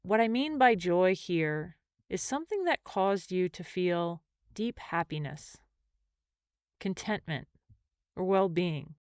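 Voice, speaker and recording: A woman guides a meditation in a slightly low, clear and smooth voice. She speaks gently, leaving space between measured phrases. The sound is dry, with no room around it. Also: lively intonation, no echo. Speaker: mindfulness and meditation teacher.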